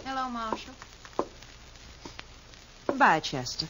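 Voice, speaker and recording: A woman's voice: on the high side, big pitch swings, slow pace. Coy, swooping saloon girl. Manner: coy, teasing. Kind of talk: 1950s radio western acting. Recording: noisy 1950s radio recording.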